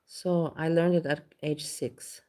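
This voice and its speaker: Low-voiced Austrian woman, rambling candid vlog chat. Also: fairly even intonation, slow pace, darkish tone. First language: German. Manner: rambling, candid, swears casually. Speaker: vlogger. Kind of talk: casual chat.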